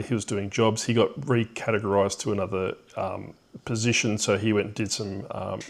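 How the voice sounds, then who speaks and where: A middle-aged man telling a personal story off the cuff in a reflective, hesitant way, with rueful chuckles here and there. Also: fairly low pitch.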